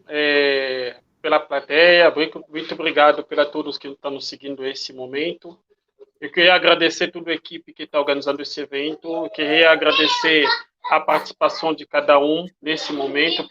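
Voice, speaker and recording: Higher-pitched man, dull lo-fi sound. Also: sharp tone. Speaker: organization president. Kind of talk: closing speech of thanks. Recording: dull lo-fi online audio.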